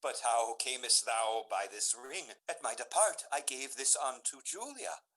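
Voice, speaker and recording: A man's voice: medium pitch, animated, measured pace, a bit bright. An actor playing an ardent, faithless young male lover in a Shakespeare radio drama, speaking verse theatrically. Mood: puzzled.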